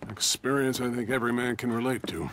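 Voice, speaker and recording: A man acting a world-weary, gruffly kind middle-aged ranch owner in a western video game, dry and paternal. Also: mid-range voice, quick pace, fairly bright tone, slight background noise, natural voice. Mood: wry, agreeable.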